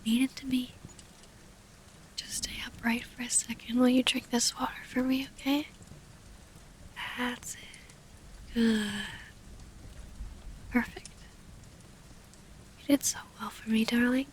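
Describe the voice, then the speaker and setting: Woman acting a tender vampire lover, half-whispering, higher-pitched. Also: level tone, natural voice, low-quality recording. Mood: soothing, wistful. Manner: breathy half-whisper, soothing, hesitant pauses. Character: tender female vampire lover, devoted, gently predatory, wistful. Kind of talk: intimate ASMR roleplay. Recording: close-up with rain ambience, faint noise.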